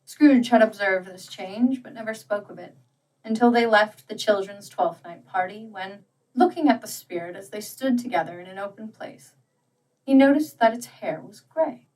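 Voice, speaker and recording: A woman narrating a literary story as an audiobook, with measured, deliberate and dramatic phrasing. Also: mid-range voice, darkish tone, recorded from a distance, low-quality recording.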